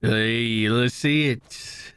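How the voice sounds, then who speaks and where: A man's voice: mid-range voice, fairly even intonation, extremely slow, fairly bright tone, clean tone, dry recording, faint music behind. A man streaming a video game, giving casual play-by-play commentary on his own moves with asides to viewers. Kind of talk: live gameplay commentary, casual.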